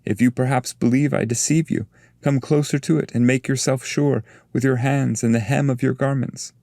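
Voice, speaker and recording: A man's voice: mid-range voice, fairly even intonation, moderate pace, vocal fry, warm tone, no echo, studio quality, pitched above the performer's own voice, lightly acted. A man voicing a wise, fatherly poet guide in a dramatic reading, earnest and coaxing. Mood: earnest, reassuring.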